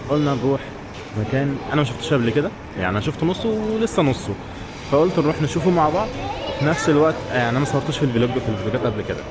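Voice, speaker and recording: A man with an Egyptian Arabic accent chatting casually and informally to viewers as a travel vlogger. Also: mid-range voice. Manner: informal, addresses viewers directly. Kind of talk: casual vlog chat to camera. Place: outdoors in town streets. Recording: clear outdoor street and traffic noise.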